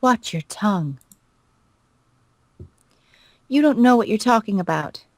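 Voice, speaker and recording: A woman's voice: mid-range voice, lively intonation, brisk, no room sound. A woman voicing a cold, haughty elder stepsister in a visual novel, condescending and disdainful. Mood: cold, defensive. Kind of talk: acted reading of visual novel dialogue.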